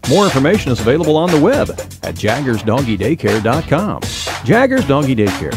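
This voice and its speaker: A man delivers a scripted radio commercial in a mid-pitched voice. His intonation is lively and varied, and music plays clearly behind him. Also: fairly quick. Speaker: radio advertisement announcer. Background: music bed.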